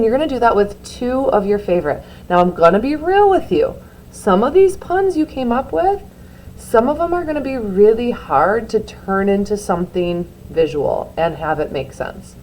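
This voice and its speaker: A woman teacher talks her class through a sketching assignment, relaxed and encouraging, with plenty of filler words along the way. Her voice sits at a middle pitch. A faint hum of room noise runs behind her. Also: low-quality recording. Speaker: art teacher. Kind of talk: classroom instruction video.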